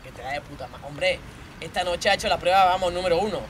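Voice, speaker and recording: A woman's voice: on the low side, quick pace, heavy background noise, pitched below the performer's own voice, lightly acted. A woman voicing a jealous, bullying young boyfriend from a stage monologue, with a Spain accent, domineering and badgering with plenty of swearing. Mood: controlling. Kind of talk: theatrical monologue role-play.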